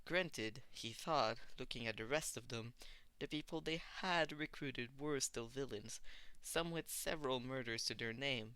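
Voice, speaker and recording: A man's voice: medium pitch, measured pace, pushed and bright, clean tone, no room sound. A man reading fan fiction aloud as a scripted story narration.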